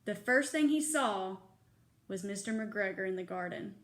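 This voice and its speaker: A woman reading a children's story aloud with dramatic expression, moving between hushed tones and excited lifts. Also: medium pitch, moderate pace.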